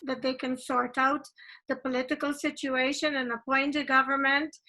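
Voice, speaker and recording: A woman's voice: sits high, level tone, normal speed, sharp tone, poor audio. A woman from Guyana hosting a casual video-call interview, chatty and eager, her statements often rising like questions. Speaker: interview host.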